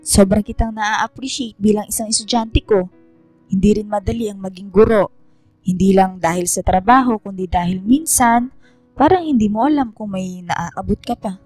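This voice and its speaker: A woman playing a kind, motherly schoolteacher, affectionate and gently encouraging, in a sentimental radio drama. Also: mid-range voice, normal speed, dry recording, soft background music, natural voice. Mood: affectionate, touched.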